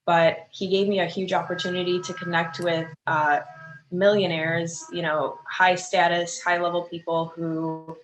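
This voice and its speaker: A woman with a fairly low voice chats candidly as an interview guest. She sounds casual and a little giggly. The room is echoey, with faint noise behind her. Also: level tone, unhurried. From Chicago, United States. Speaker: fitness coach, interview guest.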